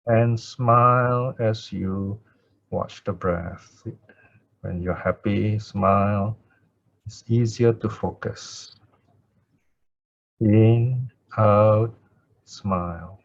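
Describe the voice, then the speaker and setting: Slow, low-voiced male meditation teacher. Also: gravelly. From Singapore. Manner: calm, measured, short pausing phrases. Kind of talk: Pali chanting and slow guided breath meditation. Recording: thin phone-like audio, very dry.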